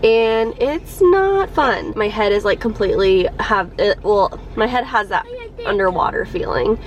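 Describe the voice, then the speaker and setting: A woman's voice: mid-range voice, lively intonation, a little slow. A young woman vlogger with a cold and a stuffed-up nose, chatting casually to her camera from a parked car, with lots of ums and likes. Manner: chatty, many ums and likes, laughs a little. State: congested from a cold.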